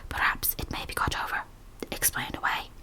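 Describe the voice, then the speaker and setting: A woman voicing a gentle, cautious male clergyman, whispering careful questions. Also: a little fast, natural voice.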